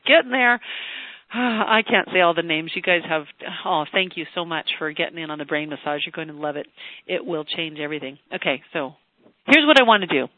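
An older host speaks over a thin, phone-like teleseminar line with a dry, close sound. The talk moves from effusive, chatty thanks to a slow, soothing trance induction. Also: mid-range voice, expressive, no echo. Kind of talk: live teleseminar, then guided relaxation script.

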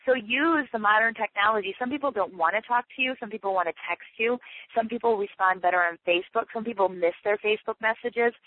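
A woman's voice: medium pitch, fast, no room sound. A woman leading a motivational sales training talk for her team, chatty and emphatic, often repeating her points. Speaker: direct-sales team leader. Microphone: phone call. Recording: tinny dry phone line.

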